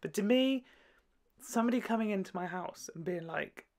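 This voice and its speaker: A woman's voice: mid-range voice, animated, normal speed, a little mellow. A woman hosting a casual, chatty video review, gushing and jokey with sweary asides. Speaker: video host.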